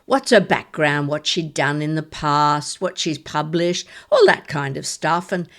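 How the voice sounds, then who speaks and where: An older woman playing a shrewd, sceptical grandmother in an audio drama, gently probing in a measured, questioning manner. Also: low voice. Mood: shrewd, coaxing.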